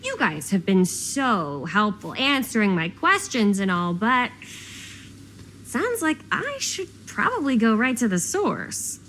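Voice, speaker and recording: High-voiced, theatrical sarcastic young American woman. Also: wildly swooping pitch, takes it slowly, a bit bright, a little hiss or hum, full character voice, poor audio. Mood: curious. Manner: theatrical, sardonic, casual slang. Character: dramatic, sarcastic, inquisitive young woman making an amateur film. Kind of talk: naturalistic video game acting.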